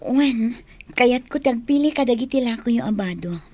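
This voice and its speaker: Wistful, lovelorn young woman, radio drama: raised pitch, soft husky breathiness. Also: lively intonation, moderate pace. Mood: hesitant. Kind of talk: Ilocano radio drama acting.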